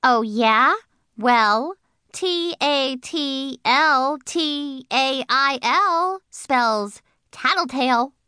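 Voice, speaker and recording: A woman voicing a cheeky, chatty little schoolgirl in an audiobook, with a chirpy, drawn-out delivery. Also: sits high, expressive, fairly bright tone, no room sound, studio quality, voice pushed up. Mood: sassy. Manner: sassy, chirpy, drawn-out words. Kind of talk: audiobook character reading.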